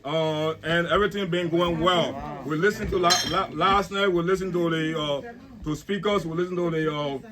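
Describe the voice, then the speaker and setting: Man, board secretary, formal speech, fairly high voice, halting repeats, outdoor barbecue, crowd noise. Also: steady tone. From Liberia. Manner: measured, repeats words while searching. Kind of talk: formal thank-you speech. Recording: noisy crowd all around. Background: crowd chatter.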